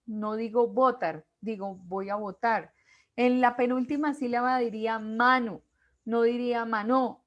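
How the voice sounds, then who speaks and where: Woman, teacher, classroom lecture, high-ish voice, explains step by step, asks quiz questions.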